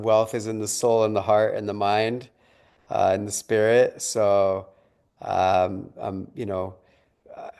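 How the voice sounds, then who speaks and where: Guy with a low smooth voice, founder type, upbeat, keeps repeating himself on a call. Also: darkish tone. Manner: enthusiastic, repeats words. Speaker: company founder and event host. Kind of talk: casual video call chat.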